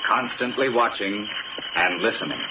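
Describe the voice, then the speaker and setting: A man's voice: fairly low pitch, moderate pace, background noise. An American man reading scripted 1960s military radio documentary narration in a solemn, dramatic way. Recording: dull old radio recording, distant, loud music.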